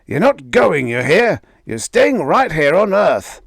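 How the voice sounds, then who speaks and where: A man reading aloud, voicing an angry, bigoted middle-aged father who shouts and rants. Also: mid-range voice, unhurried, dry recording, clean studio sound, natural voice. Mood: angry ranting. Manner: ranting, shouting.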